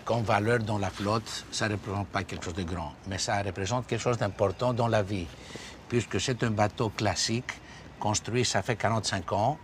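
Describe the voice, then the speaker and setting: Low-voiced man. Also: moderate pace, a little hiss or hum. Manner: relaxed, expansive, with slight slips. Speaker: interviewee, businessman.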